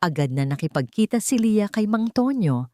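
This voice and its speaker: Dark-toned woman narrating a dramatic story. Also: mid-range voice, big pitch swings, moderate pace, no room sound, clean studio sound.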